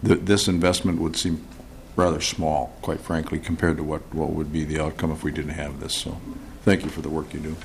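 A man with a very deep, gravelly voice adds a short follow-up remark at a hearing. He speaks haltingly and restarts his sentences. Clear noise runs through the mic. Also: level tone. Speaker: committee member. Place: legislative hearing room.

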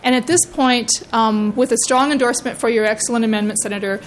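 A woman with a slightly raised pitch speaks earnestly before a legislative panel, making her case with steady conviction. She talks into a hearing-room microphone, and a faint hiss of background noise sits under her voice. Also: fast, poor audio. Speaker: education advocate testifying. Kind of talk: legislative committee testimony.

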